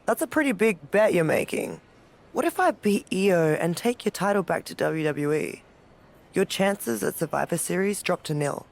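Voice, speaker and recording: Cocky low-voiced female champion wrestler. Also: lively intonation, moderate pace, vocal fry. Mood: dry, confident. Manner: dry, teasing, self-assured. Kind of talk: scripted video game cutscene acting.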